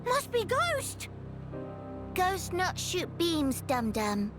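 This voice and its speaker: A woman's voice: extremely high pitch, huge pitch swings, drawn-out words, heavily acted. A woman voicing a small, eager, cheeky furry creature in a video game, speaking in broken baby-like grammar. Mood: scornful. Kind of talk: video game cutscene acting. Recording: music behind the voice.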